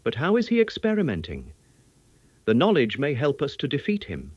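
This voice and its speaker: A man's voice: mid-range voice, wildly swooping pitch, normal speed, breathy edge, dry recording, highs cut off, voice pushed up. A man voicing an anguished, weary, grief-stricken man who pleads in an audiobook. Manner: pleading, laboured. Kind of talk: audiobook character acting.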